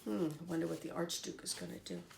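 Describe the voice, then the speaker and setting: A woman's voice: fairly low pitch, quick pace, gravelly, slight background noise. A woman reading a picture book aloud to children as a teacher, expressively and with little comments to her listeners. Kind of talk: animated picture-book storytelling.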